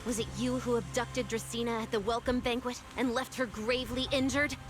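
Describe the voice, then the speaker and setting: A woman playing a stern, formal figure of authority in a game cutscene, questioning in a measured, commanding way. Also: on the high side, fairly even intonation, over music, close to an everyday voice. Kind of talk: dramatic game cutscene voice acting. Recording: noticeable background ambience noise.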